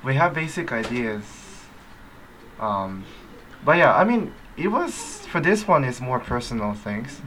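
Bright-voiced hesitant actor. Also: male voice, medium pitch, very animated, unhurried, slight background noise, rough recording. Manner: hesitant, many fillers. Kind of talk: interview answers.

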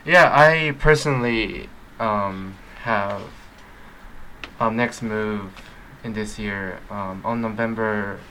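Bright-voiced hesitant actor. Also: male voice, fairly low pitch, slow, a little hiss or hum, poor audio. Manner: hesitant, many fillers. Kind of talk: interview answers.

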